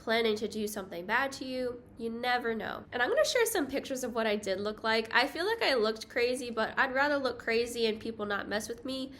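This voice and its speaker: A young woman with a higher-pitched voice gives safety tips in a casual vlog, chatty and self-deprecating, with light laughs. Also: expressive, a little fast, hardly any pauses, fairly bright tone, a little hiss or hum. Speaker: travel vlogger. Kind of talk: casual talking-head vlog.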